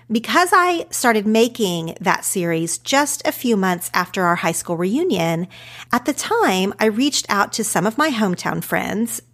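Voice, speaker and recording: A woman podcast host in her thirties with an Oklahoma accent. She speaks in an earnest, reflective way at a medium pitch. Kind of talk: podcast intro then conversation.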